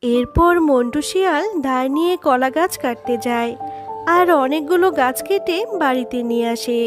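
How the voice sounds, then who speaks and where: A woman narrator reads a scripted children's tale in a high voice. Music plays behind her. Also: moderate pace.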